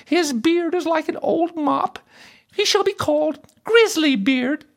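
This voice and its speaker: Spoiled, spiteful storybook princess, very high-pitched, theatrical, given to mocking and whining. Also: unhurried, vocal fry. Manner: exaggerated, theatrical exclamations.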